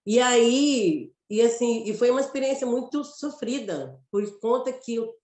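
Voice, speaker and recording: A woman from Mato Grosso with a Mato Grosso Brazilian accent, an actress telling her story in an interview, reflective and halting, often restarting phrases. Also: on the high side, dark tone, echoey room. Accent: Mato Grosso Brazilian Portuguese. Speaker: actress and guest.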